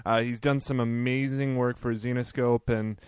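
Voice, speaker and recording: 30s guy hosting a podcast, smooth voice, dry mic, laid back with lots of umms. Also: medium pitch, moderate pace, no echo. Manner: relaxed, with many fillers like "you know". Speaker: podcast host. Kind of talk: casual podcast intro and interview.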